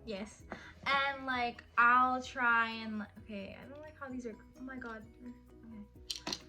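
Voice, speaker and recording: A woman's voice: a little high, steady tone, takes it slowly, pushed and bright, faint music behind. A woman in her early twenties chatting casually and teasing her husband in a Q&A vlog, with lots of "like" fillers. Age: 20s. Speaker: vlogger. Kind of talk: casual couple Q&A chat.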